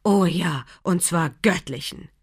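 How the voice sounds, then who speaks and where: A woman's voice: on the low side, unhurried, husky. Woman as sarcastic girl, irreverent, mocking showmanship, audiobook character. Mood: cheeky.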